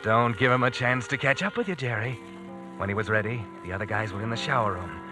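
Vintage radio thriller narrator played by a male actor, insistent, suspenseful, medium pitch, over dramatic music. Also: fast, natural voice. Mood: grim, suspenseful. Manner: insistent second-person address to the hero.

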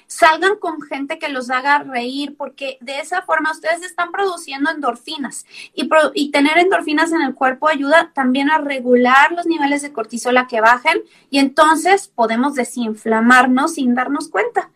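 High-voiced encouraging woman coach. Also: normal speed, fairly bright tone. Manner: encouraging, advice-giving. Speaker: skin nutrition coach. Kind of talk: casual conversational interview.

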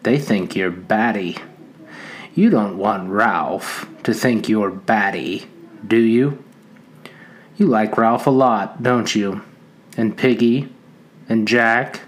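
A man voicing a menacing talking pig's head that mocks, coaxes and threatens, at a slow, measured pace. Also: on the low side. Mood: taunting. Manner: mocking, coaxing then threatening.

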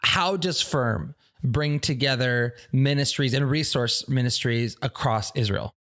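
A man's voice: mid-range voice, unhurried, a bit bright. A man, a pastor hosting an interview in a friendly, enthusiastic, rambling way. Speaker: pastor, podcast host. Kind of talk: casual interview conversation.

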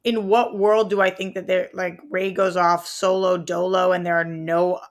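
A young adult woman co-hosting a casual pop-culture podcast, chatty and halting, often breaking off mid-sentence. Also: on the low side, flat delivery. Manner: chatty, halting, many fillers and restarts. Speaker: podcast co-host.

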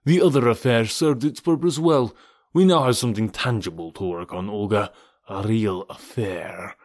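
A scheming, sneering villain, as a narrator plays him, speaking slowly in a low, menacing voice. He mocks with cruel, drawn-out words. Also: a little mellow, no room sound, clean studio sound, natural voice.

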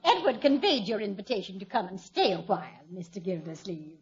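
A woman's voice: medium pitch, very animated, brisk, crowd noise, natural voice. A woman playing a prim, cutting middle-aged mother-in-law, formal and pointed, in an old radio comedy. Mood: haughty, pointed. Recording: muffled vintage radio recording.

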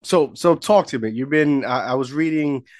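A man's voice: medium pitch, moderate pace, no room sound, clean studio sound. A man hosting a podcast, chatting casually with his guest and reacting in short exclamations. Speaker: podcast host. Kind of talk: casual interview chat.

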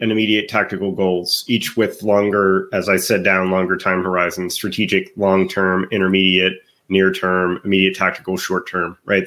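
Low-voiced guy with a stuffy nose from the flu, rambling podcast co-host. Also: fairly bright tone. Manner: rambling, thinking aloud. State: congested from flu. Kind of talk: casual podcast chat.

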